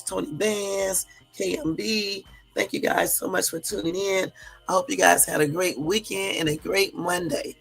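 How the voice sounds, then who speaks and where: Hoarse woman hosting a casual livestream. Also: on the low side, low-quality recording. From US West Coast. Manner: chatty, addresses viewers as y'all, many fillers. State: hoarse from a cold. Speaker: livestream host. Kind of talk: casual live-stream chat.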